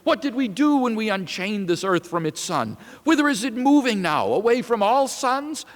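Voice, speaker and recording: A man's voice: high voice, sing-song, normal speed, voice pushed up. A man voicing an anguished, accusing madman with declamatory, urgent delivery in a dramatic reading. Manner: declamatory, urgent rhetorical questions. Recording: reverberant lecture hall.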